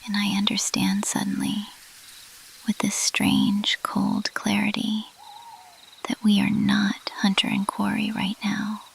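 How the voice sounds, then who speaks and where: Female ASMR narrator, unhurried hushed whisper with halting pauses, ambient noise behind. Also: mid-range voice, completely flat delivery, creaky. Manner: soft, hushed, halting delivery with lingering pauses. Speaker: ASMR roleplay performer and narrator.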